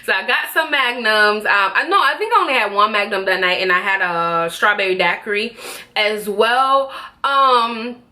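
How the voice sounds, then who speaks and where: A woman's voice: medium pitch, normal speed, sharp tone. A young Jamaican woman chatting casually to her vlog audience about a trip, rambling and correcting herself as she goes. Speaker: vlogger. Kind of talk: casual travel vlog chat.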